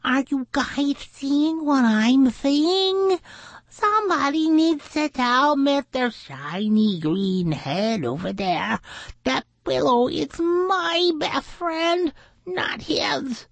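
A woman voicing a jealous, indignant young male pond animal in a children's story, loud, dramatic and whiny. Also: high voice, lively intonation, slow pace, no room sound, pitched above the performer's own voice, full character voice. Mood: jealous complaining.

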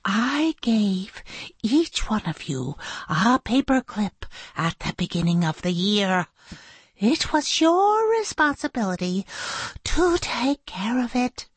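A woman's voice: mid-range voice, sing-song, husky, dry recording, voice pushed up, full character voice. A woman voicing an exasperated, scolding woman schoolteacher in a children's story, with dramatic, emphatic delivery. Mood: outraged. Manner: dramatic, emphatic, drawn-out stressed words.

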